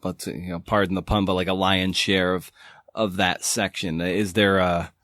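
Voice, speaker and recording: A man's voice: low-pitched, flat delivery, moderate pace, a bit bright, dry recording. A man hosting a podcast, speaking close to the microphone. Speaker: podcast host, interviewer.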